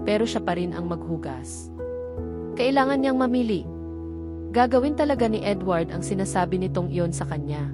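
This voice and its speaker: A woman with a Filipino accent reads a scripted romance novel as its narrator. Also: medium pitch. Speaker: story narrator. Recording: music behind the voice. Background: music.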